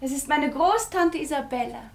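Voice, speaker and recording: A woman's voice: high-pitched, expressive, moderate pace, gravelly. An actress playing a demure, reserved and pious young noblewoman, speaking formally in a radio play. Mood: pious, admiring. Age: young adult. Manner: formal, aloof. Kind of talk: German radio play of a classic stage comedy.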